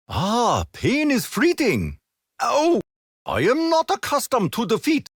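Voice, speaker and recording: A stiff, honor-bound Japanese imperial soldier, speaks in formal game voice lines with a Japanese accent. His pitch leaps and plunges all over, sometimes within a single sentence. The recording is close and dry, with no room around it. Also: fairly bright tone, slightly rough, dry recording, full character voice. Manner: formal, stiff phrasing with sudden comic deflation.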